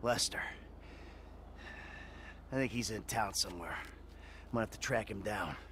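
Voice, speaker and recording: A man's voice: on the low side, a little slow, fairly bright tone, a little hiss or hum. An actor in his forties playing a weary, profane ex-bank robber in a game cutscene. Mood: resigned. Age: 40s. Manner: gruff, exasperated, swears often. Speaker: game character.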